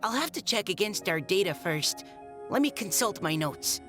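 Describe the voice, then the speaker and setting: A woman's voice: medium pitch, big pitch swings, moderate pace, music in the background, lightly acted. An actress playing a shy, polite, flustered young woman in a video game, stammering and apologetic. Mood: flustered, awkward.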